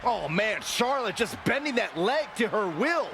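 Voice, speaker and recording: A man's voice: high-pitched, big pitch swings, unhurried. A man giving scripted commentary on a wrestling video game match. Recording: arena noise with slams behind. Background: wrestling arena ambience and slams.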